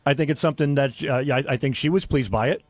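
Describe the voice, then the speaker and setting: A man's voice: medium pitch, fast talker, darkish tone, dry recording. A man in casual radio banter, talking in a brash, crude and defensive way on a close studio mic. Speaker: show regular telling his side.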